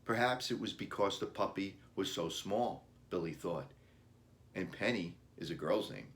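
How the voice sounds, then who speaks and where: Male author-narrator for children's fiction: lowish, slow, deliberate short phrases. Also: lively intonation, fairly bright tone. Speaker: author reading his children's book.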